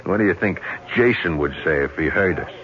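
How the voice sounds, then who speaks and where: An actor playing a bitter, vengeful war veteran plotting murder in a 1970s radio drama, intense and insistent. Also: male voice, low voice, expressive, brisk, crowd noise. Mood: vengeful, goading. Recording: muffled vintage radio broadcast.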